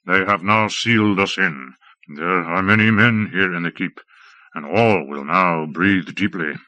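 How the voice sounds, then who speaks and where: A gloomy, sardonic man who talks low and flat, dripping with sarcasm, as a man reads him aloud. The tone stays bright and edged despite the low pitch, recorded close and dry. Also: measured pace, no room sound. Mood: grim. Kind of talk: audiobook character voice.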